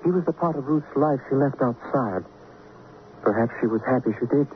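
An actor playing a wry, unflappable doctor, speaking in a dry, measured way in an old radio drama. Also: male voice, mid-range voice, lightly acted. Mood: grave, candid. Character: wry, unflappable male sanatorium doctor, protective of patients. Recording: tinny vintage radio with faint noise.

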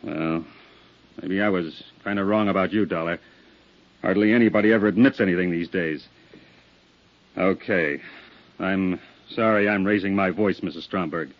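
An actor playing a tough, impatient police detective with a gruff, sardonic, badgering manner in a 1950s radio drama. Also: male voice, low-pitched, normal speed, pauses often. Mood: calmer, apologetic. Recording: dull, lo-fi 1950s radio recording.

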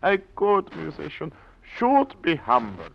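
An actor playing an imperious, teasing older maestro in an old radio drama, pompous and lecturing, often repeating himself. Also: male voice, a little high, wildly swooping pitch, unhurried, gravelly, full character voice. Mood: lecturing, wry. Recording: dull, hissy old radio recording.

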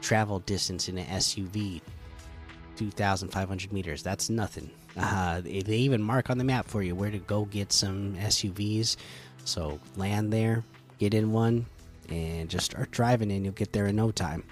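A man hosting a casual gaming podcast, chatty and relaxed with lots of fillers, slightly hoarse from a cold. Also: on the low side, normal speed, faint music behind. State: catching a cold, losing voice. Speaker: podcast host.

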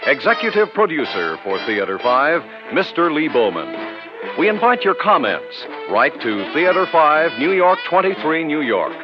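An American man announcing closing credits in a formal, deliberate mid-century radio style. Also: on the high side, sing-song. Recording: dull old radio broadcast. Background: orchestral theme music.

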